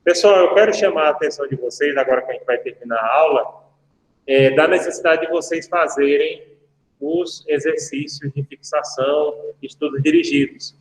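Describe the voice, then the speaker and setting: A male law professor talks casually to his class, drifting into jokey stories. His voice sits a little high. The sound rings with a long, roomy reverb. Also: moderate pace. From Brazil. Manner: chatty, anecdotal, jokey asides to students. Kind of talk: casual online lecture. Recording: echoey room with long reverb.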